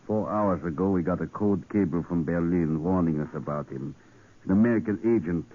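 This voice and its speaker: Deep-voiced ship's captain, vintage radio. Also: male voice, moderate pace. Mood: businesslike, deferential. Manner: clipped, official. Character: businesslike, deferential male ship's captain. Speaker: radio drama actor. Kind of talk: old-time radio drama acting. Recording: tinny, narrow-band vintage radio recording.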